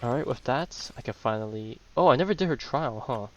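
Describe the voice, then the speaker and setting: A man's voice: medium pitch, lively intonation, a little fast. A man giving casual, slangy gameplay commentary, thinking aloud. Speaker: gamer playing through and commenting.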